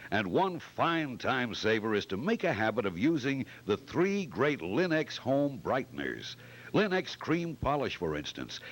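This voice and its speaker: A man acts as an eager forties radio pitchman. His polished announcing swings widely in pitch, stressing words in the old sponsor-message manner. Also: fairly bright tone. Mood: friendly salesmanship. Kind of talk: 1940s radio commercial announcing.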